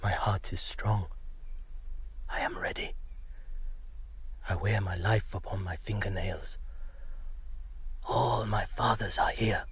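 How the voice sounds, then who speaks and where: An actor playing a fearless warrior readying for battle in a breathy, trance-like radio drama monologue. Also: male voice, lowish voice, takes it slowly, long pauses, slight background noise. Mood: exalted, intent.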